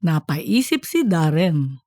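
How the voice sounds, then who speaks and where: A woman's voice: deep voice, big pitch swings, slow, mellow, no room sound, clean studio sound. A woman reading scripted narration for a comedic audiobook.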